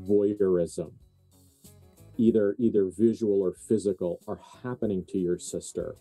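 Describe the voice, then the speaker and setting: A man with a very dark, soft-edged, lowish voice narrates a tabletop horror game. He improvises as game master in deliberate, halting phrases, with faint music underneath. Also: fairly even intonation.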